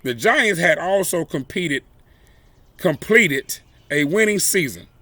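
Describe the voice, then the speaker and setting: A man reading a children's story aloud expressively, with deliberate, emphatic phrasing and dramatic pauses. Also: a little high, a bit bright, dry recording. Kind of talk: expressive read-aloud storytelling with brief asides.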